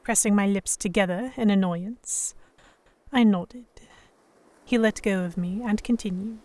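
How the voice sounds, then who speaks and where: A woman's voice: mid-range voice, measured pace, a little mellow, no room sound, lightly acted. A woman playing a defiant, sarcastic young Victorian English noblewoman held captive, narrating in formal first person for an audio drama. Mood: tense narration. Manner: sardonic, defiant, formal diction. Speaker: narrator and lead voice actor.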